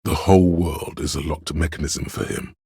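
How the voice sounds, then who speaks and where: Pompous, cavernously deep gravelly inner voice. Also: male voice, steady tone, mellow, clean studio sound, heavily acted. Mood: brooding, ominous. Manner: pompous, theatrical, deliberate diction. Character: cerebral, pretentious inner voice of artistic imagination. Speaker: game voice actor. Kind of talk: dramatic scripted game narration.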